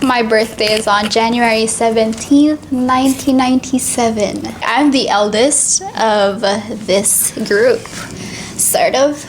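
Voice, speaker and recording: A woman in her twenties from the Philippines, co-hosting a casual podcast chat in a soft, reflective way. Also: on the high side, slow pace, a little hiss or hum. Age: 20s. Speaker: co-host.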